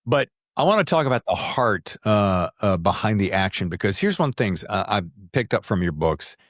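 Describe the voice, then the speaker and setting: A man's voice: lowish voice, very animated, normal speed, fairly bright tone, no echo, studio quality. A man hosting a podcast interview in a casual, chatty style. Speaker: podcast host.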